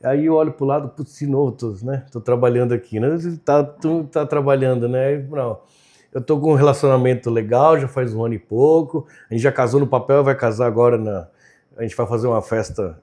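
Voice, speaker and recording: A man's voice: medium pitch, a little fast, mellow. An Argentine-born man whose first language is Spanish, a chef and entrepreneur chatting openly as a podcast guest, often laughing and tagging lines with "né?". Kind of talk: casual interview chat.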